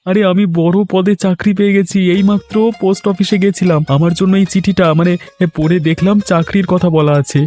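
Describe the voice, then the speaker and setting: Man acting a quick-talking young village son. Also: sits high, level tone, mellow, soft background music, lightly acted. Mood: happy, eager. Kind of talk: Bengali moral-story cartoon voice acting.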